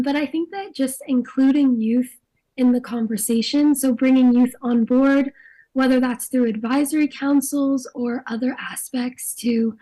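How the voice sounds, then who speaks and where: Young female activist with a hoarse, raspy, higher voice. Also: warm tone, low-quality recording. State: hoarse, losing her voice. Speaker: youth climate activist. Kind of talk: online panel discussion.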